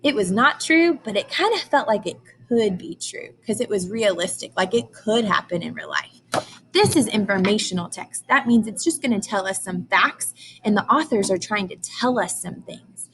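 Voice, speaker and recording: A woman's voice: mid-range voice, animated, moderate pace, a bit bright, a little hiss or hum, low-quality recording. A woman teacher reading aloud to young children, explaining and asking questions in simple, emphatic language.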